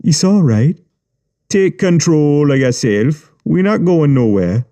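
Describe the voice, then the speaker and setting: A man voicing a calm, menacing adult male gang boss who speaks in quiet, threatening, broken-grammar street talk. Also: on the high side, slow, pitched above the performer's own voice. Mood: coaxing.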